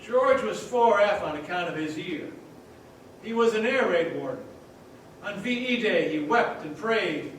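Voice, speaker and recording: A man playing a solemn senior angel in a radio play, telling a story in measured fashion on a theater stage. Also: sits high, animated, fairly bright tone. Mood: solemn, narrating. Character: solemn senior angel recounting a man's life. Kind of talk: old-time radio play acting on stage. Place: theater hall, distant mic. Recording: distant echoey stage recording, faint noise.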